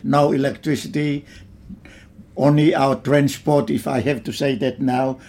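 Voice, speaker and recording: An older man from Tonga, recalling his childhood in a slow, plain way during an oral history interview. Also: mid-range voice, flat delivery, a little mellow. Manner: slow, plain reminiscing with simple phrasing.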